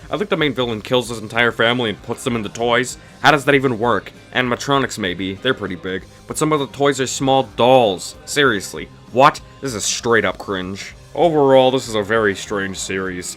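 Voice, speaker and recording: A man's voice: fairly low pitch, fairly bright tone. A man narrating a scripted comedic review in a snarky, dismissive, mock-exasperated way. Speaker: video reviewer, host of a review series.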